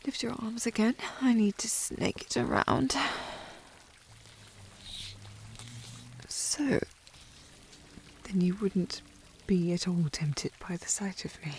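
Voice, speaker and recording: Casting: actress as prim, snooty young lady of the manor, British accent, fry-edged voice, coy and prickly, intimate period ASMR roleplay. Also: mid-range voice, animated, measured pace, darkish tone, a little hiss or hum. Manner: prim, snooty, formal phrasing with coy indirectness. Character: haughty, flustered young noble lady of the house, coy. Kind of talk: intimate ASMR historical romance audio roleplay.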